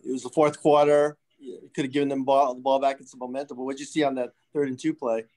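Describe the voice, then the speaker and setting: Quick-talking male reporter. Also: medium pitch, level tone, darkish tone. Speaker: sports reporter. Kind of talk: press conference questions.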